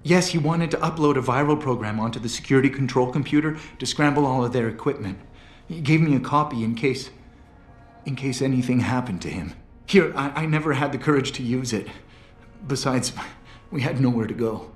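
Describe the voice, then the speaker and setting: A timid captive scientist played by a male actor, anxious and apologetic, falters and hesitates in a mid-pitched voice. It is game cutscene dialogue in an echoey space over music. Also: a little fast, lightly acted. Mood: hesitant, subdued. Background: background music.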